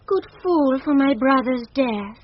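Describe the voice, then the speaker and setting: A woman's voice: high-pitched, expressive, a little slow. An actress playing a commanding noblewoman in mourning in radio Shakespeare, with stately theatrical diction. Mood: indulgent. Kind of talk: 1970s radio Shakespeare acting. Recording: dull, hissy vintage radio recording.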